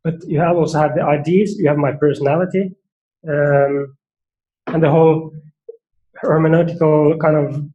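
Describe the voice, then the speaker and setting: A man's voice: fairly high pitch, steady tone, normal speed, pauses often, mellow, lo-fi sound. A man with a Norwegian accent giving an academic seminar talk, thoughtful and hesitant, with many fillers and restarts. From Norway. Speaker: seminar speaker.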